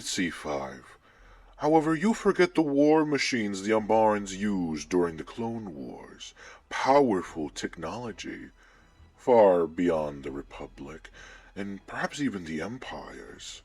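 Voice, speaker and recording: A man playing a menacing, commanding Sith lord in a sci-fi audio drama, speaking in a measured, imperious way with a cold, taunting edge. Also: fairly low pitch, sing-song, a little mellow, no room sound, heavily acted. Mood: measured, ominous. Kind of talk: scripted sci-fi audio roleplay acting. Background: background music.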